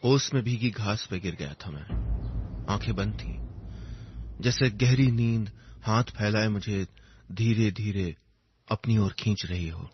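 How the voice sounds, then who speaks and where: A man narrating a scripted first-person story in a measured, reflective way, with short pausing phrases. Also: fairly low pitch, slight background noise. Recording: dull lo-fi audio under music. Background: instrumental music.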